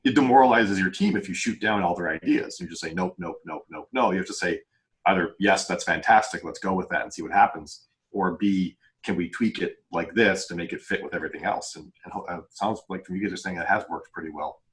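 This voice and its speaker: A man with a deep voice talks very quickly in a casual panel discussion. He thinks aloud and explains things in a rambling way. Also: low-quality recording.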